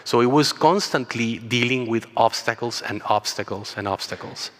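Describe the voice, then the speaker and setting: A man's voice: mid-range voice, normal speed. A man from Chile with a Chilean Spanish accent, giving an earnest keynote talk built around a personal story and sometimes restarting his words. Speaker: keynote speaker. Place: large conference hall. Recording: long hall reverb.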